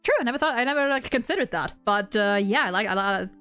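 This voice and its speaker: A woman's voice: a little high, lively intonation, quick pace, bright, forceful tone, clean tone, soft background music. A woman streamer chatting casually and informally, rambling through her opinions and swearing freely. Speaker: streamer hosting a game story ranking discussion. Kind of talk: casual chat.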